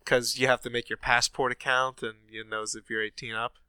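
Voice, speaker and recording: A man's voice: mid-range voice, flat delivery, a little fast, pushed and bright, smooth, no echo. A man co-hosting a gaming podcast, reading news in a chatty way with many fillers, sounding stuffy from a sinus infection. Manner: chatty, many ums and uhs. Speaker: podcast co-host. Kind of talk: casual chat, reading news.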